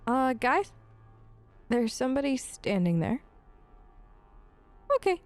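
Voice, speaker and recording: A woman hosts a role-play let's play with casual commentary. Her voice is slightly high. She chats playfully and reacts aloud as she goes. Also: very animated, takes it slowly, faint music behind.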